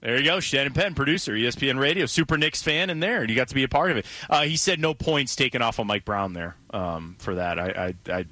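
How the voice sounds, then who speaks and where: A man's voice: medium pitch, fast talker, hardly any pauses, sharp tone, no room sound. A man from Cleveland with an American accent, hosting a casual, conversational sports talk radio show. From Cleveland, Ohio, USA.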